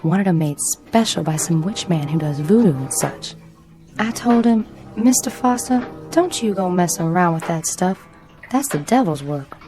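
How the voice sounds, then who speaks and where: An actress playing a plainspoken, superstitious household servant with a folksy, cautioning manner in a radio drama. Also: female voice, lowish voice, expressive, normal speed, warm tone, faint music behind, natural voice. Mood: wary.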